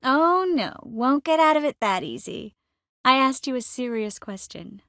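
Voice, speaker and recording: Witty, expressive sorceress, dry close sound. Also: female voice, high voice, measured pace, no echo, heavily acted. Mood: wry, teasing. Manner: expressive, teasing and wry. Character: witty, confident adult sorceress, warm but worldly. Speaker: video game voice actress. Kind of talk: dramatic video game dialogue acting.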